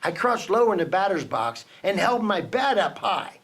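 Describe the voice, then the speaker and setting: A man reading a children's picture book aloud for a reading program, telling the story with dramatic pauses. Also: sits high, very animated, gravelly, a bit bright.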